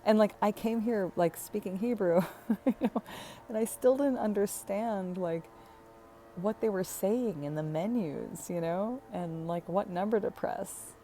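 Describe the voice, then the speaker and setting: A woman's voice: mid-range voice, unhurried, warm tone, a little hiss or hum. A woman in her thirties, chatting casually in a personal vlog, rambling with fillers, self-corrections and light laughs. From United States. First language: English. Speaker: vlogger.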